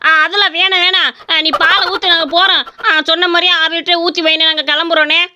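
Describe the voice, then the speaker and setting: A woman voicing a cheeky, scheming village boy in an animated comedy, with wheedling rural Tamil Nadu speech. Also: very high-pitched, fast talker, very noisy. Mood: wheedling, sly. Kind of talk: animated comedy story voice acting.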